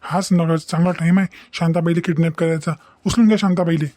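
A man's voice: fairly high pitch, steady tone, fast talker, mellow, no echo, close to an everyday voice. A man voicing a scheming, bumbling village kidnapper in a comedy cartoon, bossy and repetitive, with a Varhadi Marathi accent.

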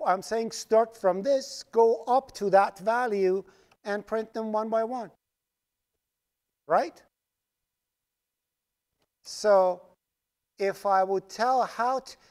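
A man's voice: sits high, darkish tone. A man whose first language is Farsi leads a live coding lecture, talking through each step as he types. He keeps checking in with the class and explains in a patient, step-by-step way, recorded over his screen capture. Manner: explains step by step while typing code, asks "right?" often. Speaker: programming instructor. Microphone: screen recording with voice-over mic.

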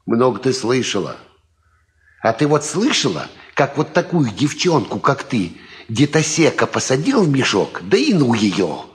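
Grumbling old peasant, wildly expressive. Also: male voice, medium pitch, unhurried. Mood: teasing. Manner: folksy, grumbling, muttering to himself. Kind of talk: Soviet radio drama acting.

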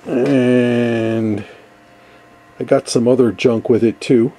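An older man with a relaxed, mellow voice at a middle pitch. He talks casually as a hobbyist showing off his workshop, easygoing and rambling, often hesitating as he goes. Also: slow pace. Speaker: ham radio hobbyist, video host. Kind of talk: casual workshop show-and-tell. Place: cluttered indoor basement workshop.

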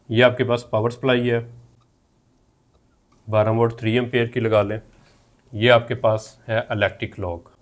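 A man in his thirties with a Pakistani accent, teaching methodically as a technical instructor and repeating each step. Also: medium pitch. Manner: repetitive, methodical explaining. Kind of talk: instructional tutorial, explaining step by step. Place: indoor room at a whiteboard.

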